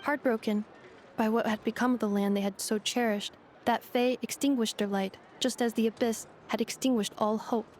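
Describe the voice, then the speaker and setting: Woman playing a high-voiced, precise robot guide. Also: fairly even intonation, darkish tone, slight background noise, natural voice. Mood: solemn storytelling. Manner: formal, measured, analytical. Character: polite, precise young female robot guide, literal and composed. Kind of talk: video game character dialogue. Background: music.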